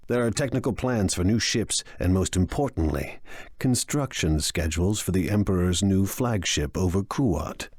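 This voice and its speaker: Low-voiced, smug crime lord. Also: male voice, expressive, moderate pace, a little mellow, no room sound, clean studio sound. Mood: scheming. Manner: wry, self-assured, theatrical. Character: smug, scheming, ambitious male crime lord. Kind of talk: video game voice acting.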